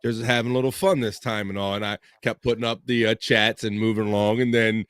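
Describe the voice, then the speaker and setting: A man's voice: on the low side, little pitch movement, fast talker, fairly bright tone, clean tone, no room sound. A man co-hosting a casual livestream podcast, telling stories and plugging shows in an easygoing way. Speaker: podcast co-host and producer. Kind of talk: casual chat, anecdotes and plugs.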